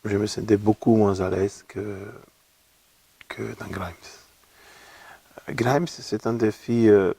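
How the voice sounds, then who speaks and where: Gravelly low man with an Argentine accent. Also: steady tone, slow pace, long pauses, warm tone, no echo. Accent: Argentine Spanish. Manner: thoughtful, repeats words while searching for them. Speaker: opera singer guest. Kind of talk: relaxed interview talk. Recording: voice from a distant mic.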